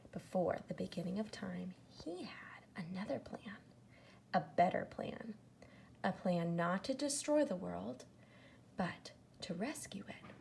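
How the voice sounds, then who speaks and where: A woman reads a children's story aloud in an expressive, dramatic way with hushed emphasis. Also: medium pitch, creaky, slight background noise. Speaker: storybook reader.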